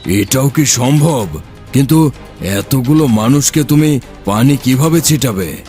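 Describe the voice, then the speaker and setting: A man's voice: medium pitch, expressive, a little slow, darkish tone, soft background music, lightly acted. A man voicing the eager, obedient apprentice of an evil sorcerer in a fairy-tale cartoon, deferential and inquisitive. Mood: curious, awed.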